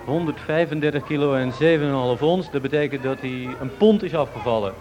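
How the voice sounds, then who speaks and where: Chatty Dutch man hosting outdoors over loud music. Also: mid-range voice, fairly quick, lo-fi sound. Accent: Netherlandic Dutch. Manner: informal, chatty, directing people around. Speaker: radio host. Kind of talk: live on-location presenting with casual chat. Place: outdoors on a residential street. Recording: outdoor street recording with music and crowd. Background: loud music, crowd, traffic.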